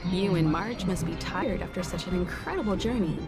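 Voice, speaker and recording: A woman's voice: fairly low pitch, a little fast, lightly acted. A woman voicing a kindly, composed mentor in an anime-style video game. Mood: gentle, composed. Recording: loud background music under the voice.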